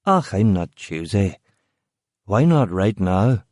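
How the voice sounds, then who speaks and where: A man reading a story, voicing a drunk middle-aged former sailor with a Northern Irish accent and a slow drawl. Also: fairly low pitch, sing-song, a little mellow, no room sound, studio quality. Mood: drunken drawl.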